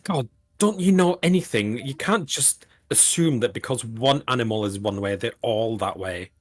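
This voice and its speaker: A man's voice: mid-range voice, normal speed, natural voice. A man voicing an angry teenage boy who huffs and whines in exasperation. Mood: huffy. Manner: whiny, exasperated.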